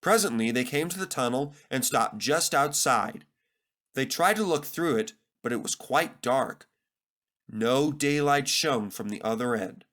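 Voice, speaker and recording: Male storybook narrator: animated, bright delivery with dramatic, expressive phrasing. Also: medium pitch, unhurried. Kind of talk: scripted children's story narration.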